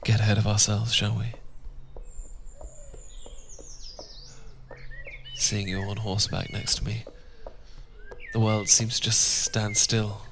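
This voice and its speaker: A British man playing a tender, well-born Regency husband in a romantic ASMR roleplay, speaking softly and formally with affection, often dropping to a whisper. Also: lowish voice, little pitch movement, moderate pace. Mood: affectionate. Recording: noisy, birdsong and outdoor sounds behind. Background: birdsong.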